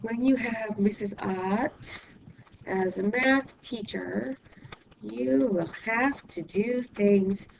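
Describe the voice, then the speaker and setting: A woman math teacher, hoarse and losing her voice from illness, patiently explaining a lesson step by step while writing, often checking in with "okay?". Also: mid-range voice, a little hiss or hum, low-quality recording. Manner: writes while talking, pausing mid-sentence. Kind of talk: recorded classroom lesson.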